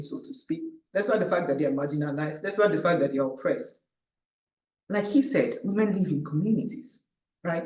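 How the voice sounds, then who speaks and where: A woman, from Nigeria, giving an animated personal talk at a public event. She tells stories by acting out her own thoughts and often tags her sentences with "right?". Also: fairly low pitch. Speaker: panel speaker. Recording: echoey room, distant mic.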